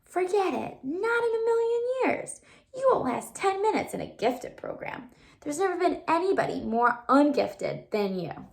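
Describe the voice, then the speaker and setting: A woman's voice: very high voice, very animated, normal speed, vocal fry, pitched above the performer's own voice, exaggerated voice. A woman voicing the tiny, taunting inner voice of a schoolboy in a storybook read-aloud. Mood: scornful. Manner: dismissive, taunting.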